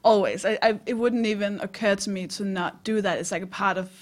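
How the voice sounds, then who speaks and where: A woman's voice: medium pitch, fairly even intonation, fairly quick. A woman comedian with a mid-range voice tells a personal story on stage. Her delivery is conversational and self-deprecating, as a co-host of a live comedy podcast. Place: small live comedy venue.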